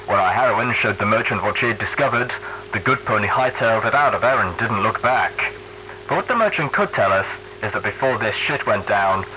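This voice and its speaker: A man's voice: medium pitch, noisy background, voice pushed up, lightly acted. A man voicing a brash, theatrical pony radio DJ who delivers news in a folksy, emphatic showman's style. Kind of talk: post-apocalyptic radio broadcast.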